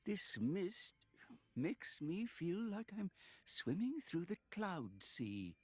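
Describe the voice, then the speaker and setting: Slow, thoughtful companion. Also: male voice, high-pitched, big pitch swings, slightly rough, no echo, exaggerated voice. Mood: musing. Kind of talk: video game cutscene acting.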